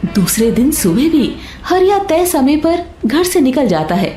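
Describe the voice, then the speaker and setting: A woman's voice: sits high, sing-song, unhurried, echoey room. A woman narrator reading a scripted story. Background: soft background music.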